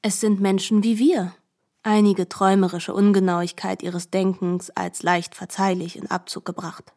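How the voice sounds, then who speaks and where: Actress as a mellow, musing female narrator. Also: mid-range voice, level tone, normal speed, lightly acted. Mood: calm, descriptive. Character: solemn, musing female narrator of a mythic novel. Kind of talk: literary radio drama narration.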